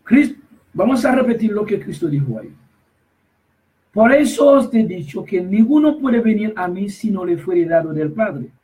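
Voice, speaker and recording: High-voiced emphatic man preaching from afar. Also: sing-song, dark tone, far from the mic, poor audio. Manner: emphatic, repetitive, halting with restarts. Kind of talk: preaching commentary.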